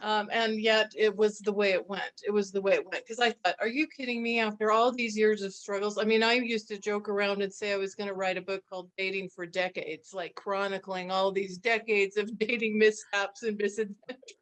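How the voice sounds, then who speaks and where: A woman's voice: mid-range voice, little pitch movement, fairly quick. A middle-aged woman hosting a conversational interview, chatty and given to repeating phrases for emphasis. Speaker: host.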